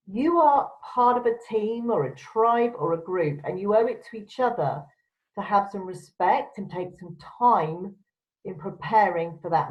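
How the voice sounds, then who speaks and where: A woman presenter giving instructional advice to camera in a firm, direct, emphatic way. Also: medium pitch. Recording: dull, lo-fi sound.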